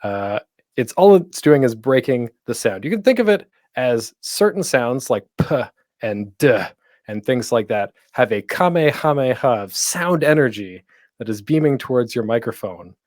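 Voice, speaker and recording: A man's voice: medium pitch, expressive, normal speed, a little mellow, no room sound. A man presenting a casual, conversational tutorial as a panel host, rambling and self-deprecating with jokey, geeky asides, speaking close to the microphone. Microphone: close microphone with a pop screen.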